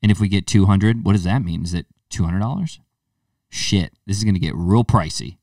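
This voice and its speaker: A man's voice: deep voice, a little fast, darkish tone, no room sound, studio quality, distant mic. A young man co-hosting a casual podcast, joking and putting himself down, laughing often. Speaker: podcast co-host. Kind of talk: casual chat.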